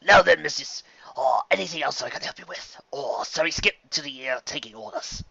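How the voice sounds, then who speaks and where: A man voicing a fat old stallion bartender in a storybook, with a deep brassy, folksy, hospitable manner. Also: animated, fairly quick, gravelly, bright, forceful tone, dry recording, dull audio, full character voice. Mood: friendly, folksy. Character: corpulent old stallion bartender, gruff but welcoming.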